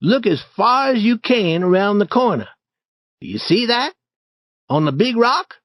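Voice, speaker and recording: A man's voice: high voice, measured pace, no echo, clean studio sound, voice pushed up. A man reading a story, voicing a bold, eager young boy who leads the way. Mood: excited. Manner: confident, excited, urging.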